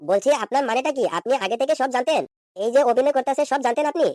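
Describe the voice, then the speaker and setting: A man's voice: very high voice, rapid-fire, a little mellow, no echo, studio quality. A man voicing an exasperated, insistent husband in a comedy cartoon. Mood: questioning. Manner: insistent, questioning, reproachful. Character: exasperated, nagging cartoon husband questioning his wife.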